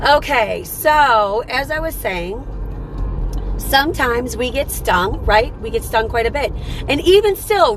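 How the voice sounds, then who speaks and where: A woman with a hoarse, rough, tired voice hosting a motivational live stream, talking earnestly and repeating her points. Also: on the high side. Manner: repetitive, earnest, coaching tone with many rhetorical "right?".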